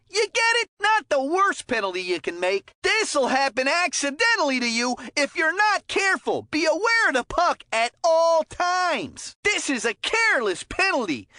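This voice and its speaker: A woman voicing an excitable, folksy, cheeky hockey commentator in a cartoon sports video game. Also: high-pitched, no echo, clean studio sound, heavily acted. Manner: folksy, exclamatory, coaching slang.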